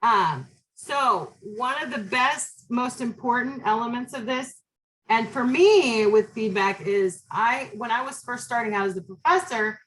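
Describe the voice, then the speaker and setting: A woman professor giving a casual classroom lecture, direct and chatty, with a loud voice and plenty of fillers. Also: fairly high pitch, lively intonation, measured pace, rough recording.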